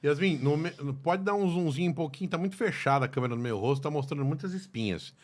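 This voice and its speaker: A man's voice: on the high side, quick pace. A man hosting a podcast interview, teasing and playful, often stumbling and restarting his sentences. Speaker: podcast host. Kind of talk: casual interview chat.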